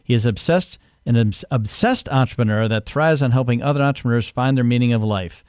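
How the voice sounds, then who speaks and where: A man's voice: mid-range voice, a little fast, a little mellow, smooth, no room sound. Male podcast host, mid-range voice, dry close mic, rambling friendly questions. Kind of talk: casual interview.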